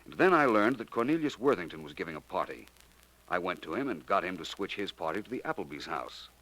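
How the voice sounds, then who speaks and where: An actor playing a suave, calmly superior gentleman detective in a 1940s radio drama, smooth and unhurried. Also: low-pitched, full character voice, poor audio. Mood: explaining. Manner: smooth, unhurried, lightly condescending.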